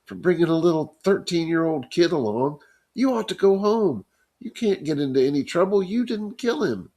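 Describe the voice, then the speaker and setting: Scared gentle teen boy, a man reading aloud puts him on, high and shaky, pleading. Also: lively intonation, normal speed.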